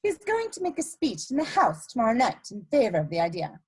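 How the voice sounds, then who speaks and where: A woman playing an elegant, ruthless blackmailer in a dramatic reading of a period play, speaking in a deliberate, imperious way. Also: fairly high pitch, wildly swooping pitch, darkish tone, husky, exaggerated voice. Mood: smug, gracious. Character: elegant scheming female blackmailer, coolly ruthless society lady.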